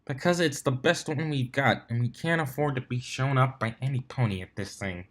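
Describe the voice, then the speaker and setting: A man's voice: moderate pace, fairly bright tone, natural voice. A man voicing a stubborn, queasy female pony team captain from a storybook, in a terse, strained way. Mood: strained, firm. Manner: terse, strained, low-volume. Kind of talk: storybook character reading.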